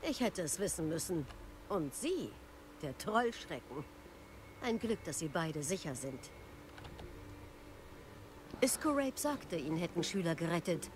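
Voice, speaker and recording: A woman's voice: fairly low pitch, very animated, unhurried, long pauses, noisy background. Stern, authoritative female officer. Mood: stern, relieved. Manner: formal, admonishing, firm. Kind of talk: video game voice acting.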